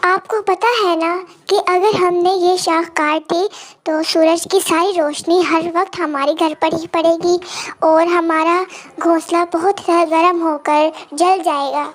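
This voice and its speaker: Children's tale casting: young son parrot , voiced by a woman, extremely high, chirpy, earnest. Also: fairly even intonation, measured pace. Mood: worried. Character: eager, chirpy little boy parrot. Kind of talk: children's moral story voice acting.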